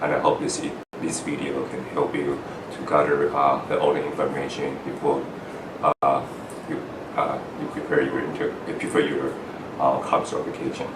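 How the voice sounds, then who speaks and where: A man's voice: rumbling low, monotone, reverberant, far from the mic, noisy background. A middle-aged man from Taiwan, a foreign-trained doctor, giving an earnest account of his path to residency, with many "uh" fillers and restarts. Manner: halting, frequent "uh" fillers and restarts. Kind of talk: earnest personal account to camera.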